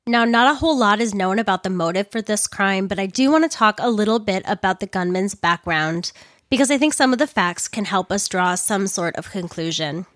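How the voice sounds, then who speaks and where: A woman, somewhat hoarse from losing her voice, narrating a crime story with earnest personal commentary. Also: mid-range voice, animated, a little fast, fairly bright tone, dry recording, studio quality.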